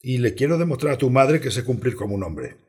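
A man's voice: medium pitch, animated, fast, darkish tone, natural voice. An actor playing a plain-spoken, stubborn and brooding countryman in a radio drama. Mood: earnest, insistent. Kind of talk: radio play acting and first-person narration.

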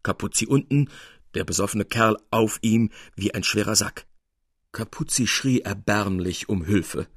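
Guy narrating an old literary story, husky and expressive, really dry studio sound. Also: fairly low pitch, measured pace, dry recording. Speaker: audiobook narrator.

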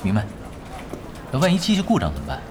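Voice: mid-range voice, wildly swooping pitch, fast talker, noisy background. An actor playing a laid-back young gamer on an internet-cafe night shift in an audio drama, casual and dry. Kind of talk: Chinese audio drama acting.

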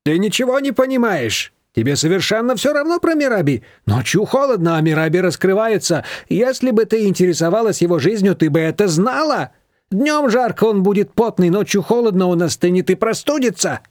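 A man's voice: sits high, very animated, fairly quick, a little mellow, no echo, studio quality, voice pushed up. A man voicing a doting, fussy elderly mother-in-law who scolds and laments theatrically. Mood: scolding.

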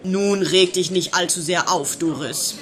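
A fussy male attendant, played by a man in a radio comedy, his voice on the high side. He explains and soothes, with clear background noise around him. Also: unhurried. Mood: placating. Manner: explanatory, placating. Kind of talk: comedy radio play acting.

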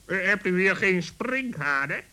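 A man voicing a cheeky, sceptical talking bird in a children's radio play, with a sharp-tongued manner. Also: high voice, lively intonation, a little slow, clear, resonant tone, voice pushed up, exaggerated voice.

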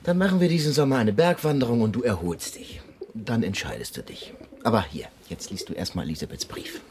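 A man's voice: on the low side, expressive, brisk, a little mellow, close to an everyday voice. An actor playing a caring, cheerful young student in a German radio drama, coaxing and reassuring his friend. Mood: encouraging. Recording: faint background noise.

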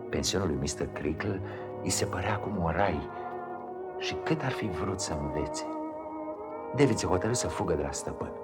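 A man narrating a 1950s radio drama as a grave, compassionate storyteller, expressive and solemn. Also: low-pitched. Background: prominent music.

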